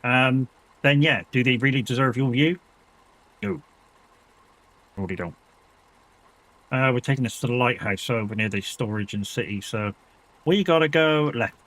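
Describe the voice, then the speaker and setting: A man's voice: medium pitch, lively intonation, normal speed, long pauses, fairly bright tone, low-quality recording. A man with a British accent, chatting casually with viewers during a live gaming stream, reassuring and easygoing, swearing now and then and laughing often. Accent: British English.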